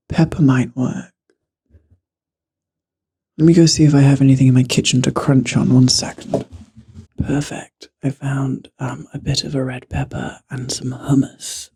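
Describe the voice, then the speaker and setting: Hoarse, gravelly man whispering slowly. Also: mid-range voice, dark tone. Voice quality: raspy, guttural. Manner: chatty, self-deprecating, drifts between whisper and voice. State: losing his voice, hoarse. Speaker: vlogger. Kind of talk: casual ASMR whispering. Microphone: handheld microphone.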